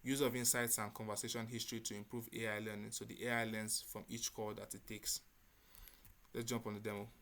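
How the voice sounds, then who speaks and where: A man's voice: fairly low pitch, flat delivery, fairly quick, a bit bright. A man giving a spoken demo presentation as a developer showing his project.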